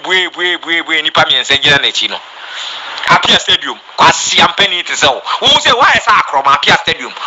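A man's voice: high voice, lo-fi sound. A Ghanaian man giving an impassioned, scolding political rant, emphatic and repeating his words.

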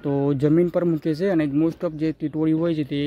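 A man's voice: fairly high pitch, level tone, brisk, mellow, muffled recording, slight background noise. A young man with a Gujarati accent, walking around his village rooftop and describing what his phone camera shows, repeating his phrases often. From Gujarat, India. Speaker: village vlogger. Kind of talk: casual walk-and-talk vlog. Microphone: handheld phone camera. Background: birds calling.

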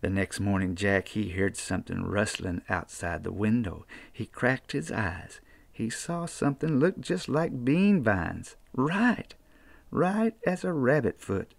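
Creaky older Appalachian man telling stories. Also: lowish voice, lively intonation, unhurried, no echo. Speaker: storyteller reading a children's book. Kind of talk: expressive folksy storybook reading. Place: small book-lined room indoors.

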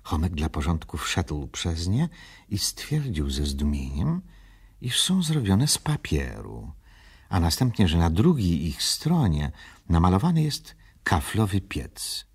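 A man narrates a storybook in a very low voice. It is extremely husky and raspy, yet the intonation moves in a lively way through the scripted narration. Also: a little slow.

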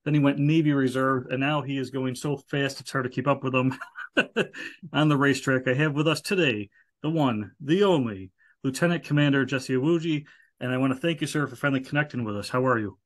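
A middle-aged man hosts an interview over video call from a room at home. His voice sits at an ordinary pitch, and he talks in a friendly, joking way. He often stops and restarts his sentences. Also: brisk. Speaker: podcast host, Army veteran.

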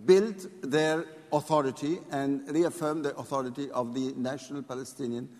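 A man's voice: medium pitch, fairly even intonation, moderate pace, a little mellow. A man in a reverberant hall giving an insistent, formally worded rebuttal as an official in a debate. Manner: indignant, insistent, formal wording.

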